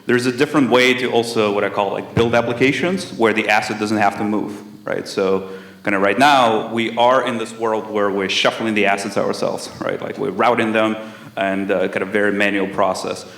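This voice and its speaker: A man's voice: fairly low pitch, steady tone, moderate pace, fairly bright tone, reverberant. A man speaking as a conference panelist, laying out his own terms in a conversational way.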